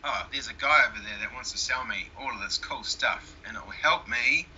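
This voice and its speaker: A man's voice: medium pitch, fairly quick, sharp tone, a little hiss or hum. A man with a New Zealand accent, a guest talking casually in an interview. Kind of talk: conversational interview. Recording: dull lo-fi sound.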